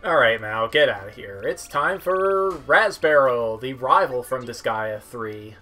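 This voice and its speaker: A man's voice: mid-range voice, animated, unhurried, sharp tone. A young man giving casual, wry, rambling commentary on a video game. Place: indoor room at home. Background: game music.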